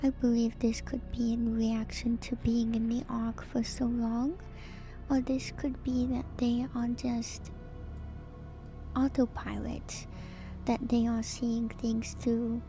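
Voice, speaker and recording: A woman streamer giving soft, breathy, often whispered ASMR commentary on a horror game, musing and pausing often to think, close to the mic. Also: fairly high pitch, little pitch movement, music in the background. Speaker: streamer, virtual YouTuber host.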